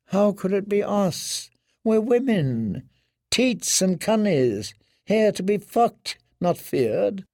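A man's voice: high voice, sing-song, slow, mellow, no room sound, studio quality, pitched above the performer's own voice, exaggerated voice. A man voicing a coarse washerwoman who speaks in a scornful, mocking way.

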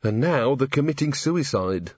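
A man plays a storybook policeman, grave and scrupulously polite. His lines are formal and measured, set a little higher. The recording sounds slightly dull. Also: animated, a little mellow, no room sound, lightly acted. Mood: sombre. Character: earnest, grave, courteous young policeman.

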